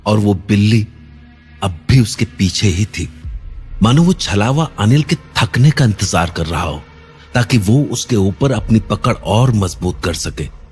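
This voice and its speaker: A man narrating a cartoon horror story in a suspenseful, measured storytelling manner. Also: fairly low pitch, lively intonation, darkish tone, breathy edge, soft background music.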